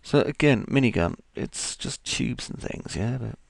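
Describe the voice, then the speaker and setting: Croaky male tutor, deep gravelly voice, dry close mic, lively delivery. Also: measured pace, no room sound. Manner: casual, talks through each step as he works. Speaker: 3D modeling tutor. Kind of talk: screen-recorded software tutorial.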